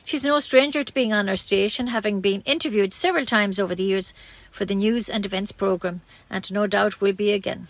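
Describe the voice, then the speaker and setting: A woman's voice: medium pitch, steady tone, fairly quick, no echo. A woman with an Irish accent reading scripted local news as a community radio newsreader.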